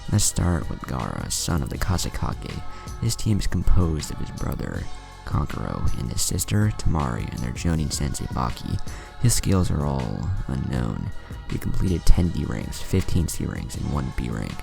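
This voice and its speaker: A man's voice: a bass voice, sing-song, moderate pace, gravelly, few pauses, exaggerated voice. A man voicing an informative young male ninja who explains and lists facts, with music behind. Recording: music under the voice.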